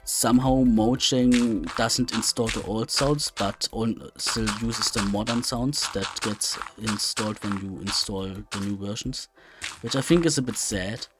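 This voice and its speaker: A man's voice: on the low side, a little slow, music in the background. A man giving casual, unscripted gameplay commentary, hesitant and rambling as he thinks aloud. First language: German. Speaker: let's play host.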